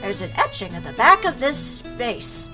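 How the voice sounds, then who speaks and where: A woman's voice: on the high side, big pitch swings, measured pace, music in the background. A woman hosting a let's play, chatting playfully and reacting aloud as she reads through a game. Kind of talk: gaming commentary with read-aloud game text.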